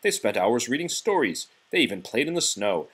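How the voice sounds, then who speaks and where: A man's voice: mid-range voice, very animated, brisk, a bit bright, breathy edge. A man reading a children's storybook aloud to a child, expressively, with the odd prompting question. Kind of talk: children's read-aloud narration.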